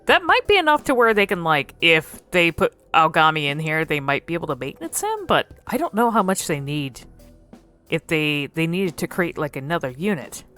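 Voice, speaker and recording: A woman giving casual game commentary as a video creator, thinking aloud in a halting, musing way. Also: low voice, big pitch swings, pushed and bright, soft background music.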